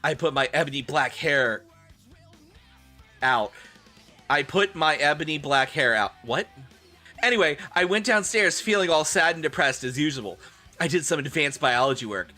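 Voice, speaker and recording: A man streaming a comedic dramatic reading in a theatrical, expressive manner. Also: on the high side, normal speed, long pauses, soft background music.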